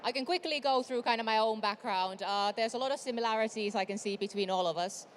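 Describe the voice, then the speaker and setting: A woman's voice: on the high side, fast talker. A woman from Finland with a Finnish accent, speaking on a conference panel in a chatty way with frequent fillers. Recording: reverberant hall, faint noise.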